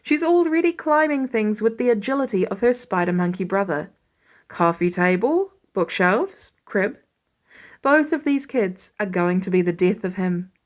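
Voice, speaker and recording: A woman's voice: mid-range voice, lively intonation, normal speed. A woman reading a scripted story aloud as an audiobook narrator. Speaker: fan fiction audiobook reader.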